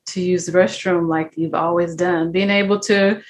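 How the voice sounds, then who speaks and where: A woman's voice: lowish voice, steady tone, moderate pace, slight background noise. A woman hosting an interview, speaking reflectively and smiling as she talks. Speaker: host. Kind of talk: conversational interview.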